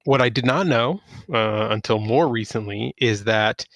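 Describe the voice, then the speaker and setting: A man hosting a podcast, chatting casually about games in a halting, conversational storytelling way. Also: mid-range voice, vocal fry, a bit bright, no room sound. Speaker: podcast host. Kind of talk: casual gaming chat.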